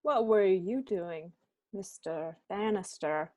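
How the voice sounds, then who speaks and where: A woman's voice: medium pitch, slow pace, mellow, dry recording, clean studio sound, close to an everyday voice. A woman playing a probing, sardonic police captain in an amateur radio-style mystery. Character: brisk, wry police captain questioning suspects.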